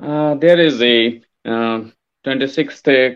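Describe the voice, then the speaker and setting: A man's voice: medium pitch, slow pace, clean tone. A man interviewing a guest, asking his questions hesitantly with many uhs. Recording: dull lo-fi audio.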